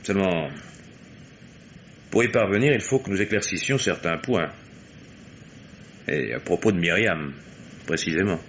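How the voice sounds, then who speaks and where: An actor playing a shrewd, self-assured investigator in an old French radio mystery, with a measured, probing, faintly ironic delivery. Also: male voice, low voice, expressive, a bit bright, heavily acted. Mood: grave, probing. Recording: dull, hissy old radio recording.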